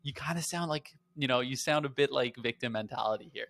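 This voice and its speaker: A bright, forward-voiced man talking casually on a podcast. He rambles with lots of fillers and keeps breaking into quick imitations of other people. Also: medium pitch, vocal fry. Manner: chatty, lots of fillers, laughs and mimics people. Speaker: podcast co-host.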